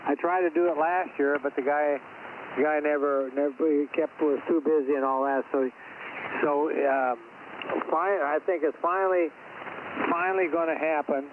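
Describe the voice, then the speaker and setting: A man's voice: fairly high pitch, steady tone. Man rambling over crackly, phone-like radio. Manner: rambling, halting with repeated words. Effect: two-way radio transmission. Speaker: amateur radio operator. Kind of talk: casual radio chat. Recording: noisy, phone-like ham radio signal.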